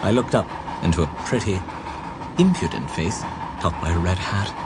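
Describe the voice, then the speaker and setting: An actor playing an earnest, romantic young English gentleman in a radio drama, with an English accent and a polite, eager manner. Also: low voice, expressive, moderate pace. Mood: reflective. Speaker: narrator and actor. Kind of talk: radio drama narration and dialogue. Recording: clear background noise and ambience.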